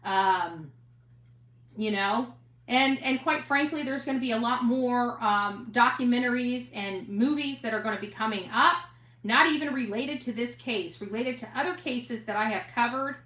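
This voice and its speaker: A woman's voice: fairly high pitch, moderate pace, fairly bright tone, reverberant. A woman talking straight to her online audience as an investigative host, blunt, emphatic and defiant. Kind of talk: impassioned monologue to online audience.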